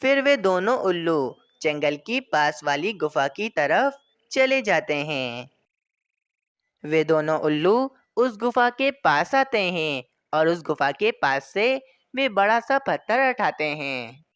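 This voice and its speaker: A woman tells a children's cartoon story in a lower voice that rises and falls a lot. She speaks slowly and sounds smooth and close to the mic. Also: sing-song, dry recording. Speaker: narrator. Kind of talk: storybook narration.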